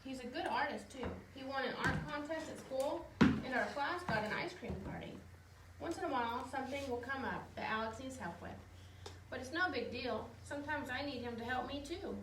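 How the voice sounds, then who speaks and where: A woman reading children's picture books aloud in a simple, expressive storytelling way, with casual asides. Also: high-pitched, brisk. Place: outdoors in the wind, with animals. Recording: echoey, distant, noisy windy outdoor recording.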